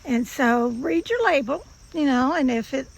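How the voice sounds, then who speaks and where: A hoarse woman hosting a homesteading vlog, chatting casually and explaining tips to viewers while working outdoors. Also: high-pitched, animated, unhurried, rough recording. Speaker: homesteading channel host.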